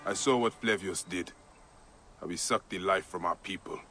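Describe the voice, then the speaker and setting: Game lead: brooding male avenger, low gravelly tone with hard edge, grave intensity, score behind. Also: moderate pace, bright, forceful tone, soft background music. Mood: earnest. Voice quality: gravelly, husky. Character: brooding, vengeful Egyptian warrior lawman, bereaved father. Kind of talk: dramatic video game acting.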